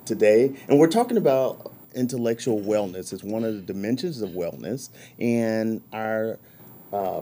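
A man hosting a podcast interview, affirming and encouraging, quick to laugh along. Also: fairly low pitch, mellow, a little hiss or hum. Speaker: podcast host.

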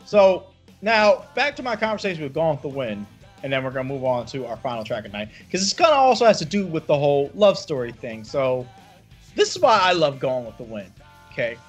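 A male podcast host in casual chat, retelling a story with lots of swearing. His voice sits high and husky and swings widely, with faint music under it. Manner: animated, profane storytelling.

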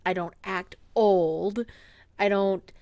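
A woman's voice: mid-range voice, steady tone, slow, darkish tone, no room sound. A woman in her late twenties co-hosting a podcast in casual chat, telling stories animatedly and laughing often between phrases. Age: late 20s. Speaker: podcast co-host.

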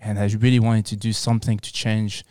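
A man from France with a French accent, answering casually as an interview guest in a halting, repetitive way. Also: fairly low pitch, little pitch movement, darkish tone, no room sound, clean studio sound. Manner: halting, repeats words and phrases.

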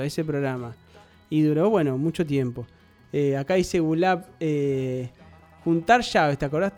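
Rioplatense radio guy rambling thru an old story, highish voice, faint music behind. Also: a little mellow. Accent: Argentine Rioplatense. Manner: chatty, rambling, peppered with tag questions. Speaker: radio host. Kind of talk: nostalgic storytelling on air.

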